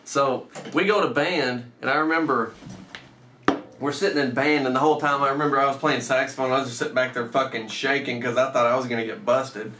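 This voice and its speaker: Bright-voiced man telling stories in a roomy space. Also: medium pitch, steady tone, a little fast, a little hiss or hum. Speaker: storyteller, host. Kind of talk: casual storytelling, profane. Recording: roomy echo, off the mic.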